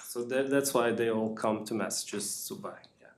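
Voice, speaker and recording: A man's voice: medium pitch, a little fast. Hesitant man in a roomy hall. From Kazakhstan. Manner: hesitant, many fillers and restarts. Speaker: used car business applicant. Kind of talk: town meeting presentation. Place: town meeting room.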